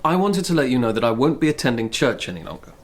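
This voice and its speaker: Earnest, naive young Edwardian Englishman male actor, plain-spoken with lively intonation, slight noise behind, radio drama. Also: medium pitch, fast talker, natural voice. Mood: solemn, defiant. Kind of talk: period radio drama acting.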